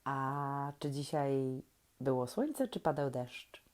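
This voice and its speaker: A woman who hosts and reads stories for young children, gently and playfully, asking questions and leaving expressive pauses. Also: extremely low pitch. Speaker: children's story reader and host. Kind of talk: reading aloud to young children, talking to the listeners.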